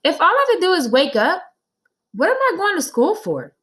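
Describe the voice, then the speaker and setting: A woman's voice: very high voice, huge pitch swings, brisk, a bit bright, pitched above the performer's own voice, heavily acted. A woman voicing a young schoolboy in a children's storybook, eager, stubborn and frustrated, with an emphatic, childlike way of protesting. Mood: frustrated, whiny. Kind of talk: children's storybook read-aloud.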